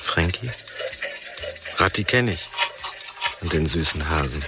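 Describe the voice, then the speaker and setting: An actor playing a bitter, dreamy male spirit in a radio play, talking in a halting, murmuring monologue that keeps repeating itself. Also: extremely low pitch, lively intonation, full character voice. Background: music.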